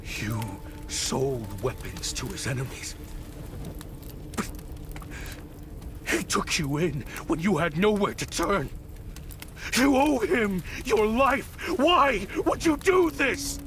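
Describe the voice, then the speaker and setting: An actor playing a remorseful, weary weapons designer in a video game, speaking in a solemn, confessional manner. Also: male voice, sits high, very animated, takes it slowly, long pauses, a bit bright, heavily acted. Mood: shocked, anguished. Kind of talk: dramatic video game voice acting. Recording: long hall reverb, clear music behind.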